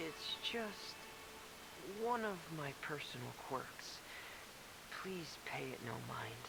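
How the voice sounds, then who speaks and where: A man voicing a shy, gentle, dreamy teenage boy in a video game, speaking softly, breathily and hesitantly. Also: fairly high pitch, big pitch swings, takes it slowly, very noisy, full character voice. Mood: polite, reserved. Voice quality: soft, breathy, slightly creaky. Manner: hesitant, earnest, quiet. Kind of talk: video game cutscene acting.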